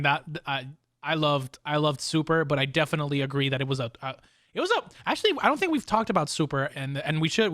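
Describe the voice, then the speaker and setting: A man hosting a casual fan discussion, talking informally and excitably, often repeating phrases as he goes. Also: a little high, no room sound, studio quality. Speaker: podcast host.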